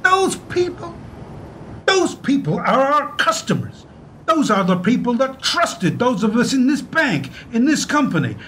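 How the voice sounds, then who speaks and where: A man plays an indignant male bank manager whose voice sits high and swings widely in pitch. He pushes back with sarcastic jabs, in the manner of a community radio play. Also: big pitch swings, measured pace, fairly bright tone, a little hiss or hum, full character voice. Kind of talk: amateur radio play acting.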